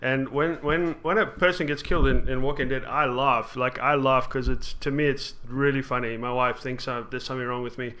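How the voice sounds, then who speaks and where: Bright guy hosting a gaming podcast, rambles a lot, accent hard to pin down. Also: mid-range voice, fairly even intonation, brisk, hardly any pauses. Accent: mixed, hard to place. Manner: rambling, laughs at his own jokes. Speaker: podcast host. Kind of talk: casual chat.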